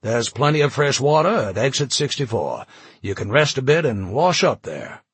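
A man voicing a calm armed man who defuses a standoff and reassures frightened refugees. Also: medium pitch, moderate pace, husky, no room sound, voice pushed up, full character voice. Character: calm, steady man with a pistol.

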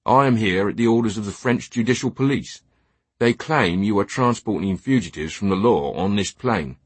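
A man's voice: fairly low pitch, animated, normal speed, breathy edge, dry recording. A man voicing a stern, unyielding English police captain in an audiobook, formal and firm.

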